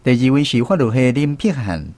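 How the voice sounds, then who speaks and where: A man's voice: medium pitch, lively intonation, brisk, mellow. A man with a Taiwanese accent hosting a radio segment, presenting from a script and introducing guests. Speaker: radio host.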